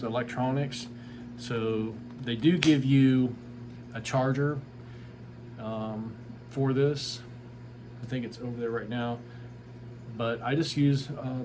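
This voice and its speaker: A man with a mid-pitched voice talks through a product in an easy, informal review style. He is plain-spoken and unscripted, leaning on filler words as he explains. Clear ambient noise runs behind his voice. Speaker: tree service business owner reviewing a product.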